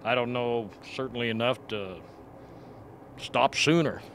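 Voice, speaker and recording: A man playing a grave, earnest expedition doctor in a radio play, reasoning in a measured way. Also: medium pitch, a bit bright. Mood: matter-of-fact. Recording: faint background noise.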